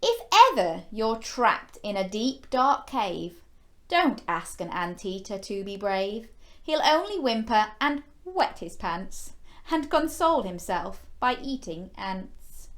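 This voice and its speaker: A woman's voice: mid-range voice, very animated, takes it slowly, fairly bright tone. A woman hosting a children's story time, reading a picture book expressively with exclamations and playful questions to young listeners. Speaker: children's storyteller and show host.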